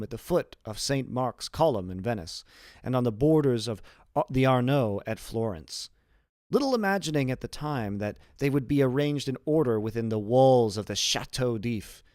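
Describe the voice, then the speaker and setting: A man voicing a learned, principled old imprisoned abbé in a deliberate, formal, lecturing manner for an audiobook. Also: mid-range voice, a little mellow, no echo, studio quality, close to an everyday voice. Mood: proud, scholarly. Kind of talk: audiobook character reading.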